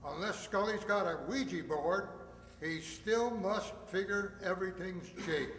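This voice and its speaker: A male police captain played by a man with a notably high voice in a radio crime drama. He is cautious and in charge, in an echoey hall with faint noise. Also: unhurried, fairly bright tone. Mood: brisk, cautious.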